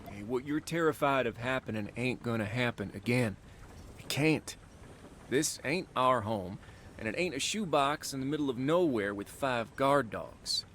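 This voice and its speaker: A man's voice: mid-range voice, animated, moderate pace, fairly bright tone, natural voice. A folksy, supportive male bounty dispatcher played by a man in a video game. He, teasing and reassuring like an old friend. Faint ambient noise sits behind him. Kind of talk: naturalistic video game acting.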